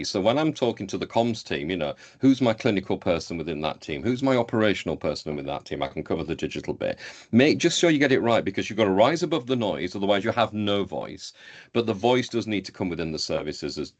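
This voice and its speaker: A middle-aged man with a Yorkshire accent, answering conversationally as a panel speaker on a webinar and stressing his key words emphatically. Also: on the low side. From Yorkshire, England. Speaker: healthcare IT professional, panel speaker.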